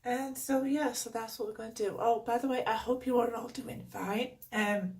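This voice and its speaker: A woman with a Geordie accent, chatting casually through a beauty box unboxing at home, her voice croaky from a cold and her talk rambling with lots of fillers. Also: a little high, steady tone, normal speed, a little mellow, recorded from a distance. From North East England. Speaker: beauty vlogger.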